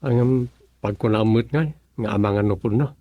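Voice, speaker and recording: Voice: medium pitch, moderate pace, dry recording, lo-fi sound, natural voice. A performer playing a curious listener who prods a gossip with short questions in a comedic radio drama. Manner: inquisitive, short prompting questions.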